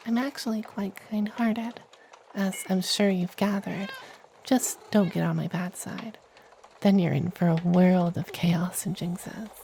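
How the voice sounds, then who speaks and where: Playful, powerful but kind forest witch, played by a man: dark mellow tone, soft ASMR delivery, faint background ambience. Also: sits high, steady tone, measured pace, gravelly, a little hiss or hum. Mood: playful, boastful. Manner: soft, cosy, playfully boastful, slipping into whispers. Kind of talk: ASMR roleplay.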